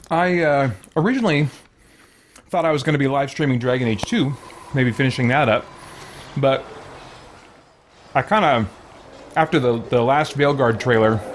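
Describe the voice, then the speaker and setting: A man's voice: mid-range voice, slight background noise. A man hosting a game livestream in casual chat, rambling and thinking aloud as he goes. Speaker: live streamer hosting a game playthrough.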